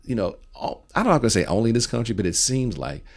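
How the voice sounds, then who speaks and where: A middle-aged American man hosting a podcast conversation, talking in an animated, emphatic way and often jumping in over his guest. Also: mid-range voice, fast, vocal fry. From United States. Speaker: podcast host. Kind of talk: casual conversation.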